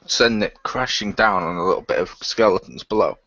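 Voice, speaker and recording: Low-voiced man narrating role-play. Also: a little fast, a bit bright, no room sound, highs cut off. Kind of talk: improvised tabletop-style narration.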